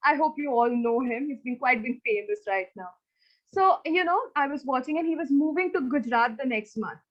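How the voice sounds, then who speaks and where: Female contestant, twenties, Indian accent, high voice, comic storytelling, muffled lo-fi recording. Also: lively intonation, a little fast. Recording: dull lo-fi audio.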